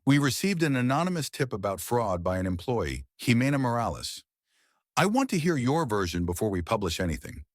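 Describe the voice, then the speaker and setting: A man's voice: medium pitch, big pitch swings, brisk, no echo, clean studio sound, pitched above the performer's own voice. A man voicing a formal, direct female journalist in her forties in a narrated story. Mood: businesslike. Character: probing, composed forty-something female journalist. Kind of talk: audiobook-style story dialogue.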